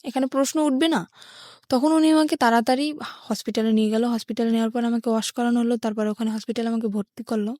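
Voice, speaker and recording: A woman's voice: fairly high pitch, fairly even intonation, fast, darkish tone, no echo, clean studio sound. A young woman with a Bangladeshi accent, telling her life story as an interview guest.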